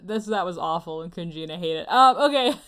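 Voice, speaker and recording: A woman co-hosting an anime review show, chatting casually in an expressive, playfully exasperated way. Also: on the low side, fast.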